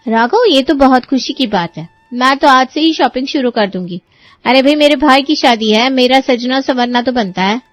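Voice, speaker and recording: A woman's voice: high voice, moderate pace, dry recording, pitched above the performer's own voice, lightly acted. A woman voicing a vain, indignant young sister-in-law in a cartoon story, huffy and defiant. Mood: excited.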